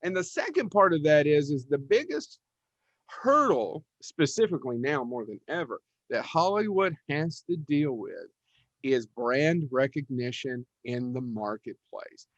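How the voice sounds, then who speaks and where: A man with a fairly high voice talks casually as a podcast co-host. He is emphatic and rambling in his delivery. The sound is very dry and a little dull. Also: animated, unhurried, no echo. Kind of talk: casual panel discussion.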